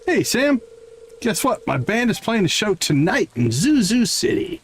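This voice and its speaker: Eager young guy in a band, played by a male streamer, upbeat and pitched up, game dialogue, slight noise. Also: very animated, unhurried. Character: excited young male musician inviting a friend.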